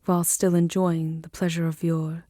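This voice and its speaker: A woman playing a seductive, tender vampire countess in an intimate ASMR roleplay, hushed and breathy, often slipping into a whisper. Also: low voice, level tone, moderate pace, no room sound, natural voice. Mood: ominous, confessional.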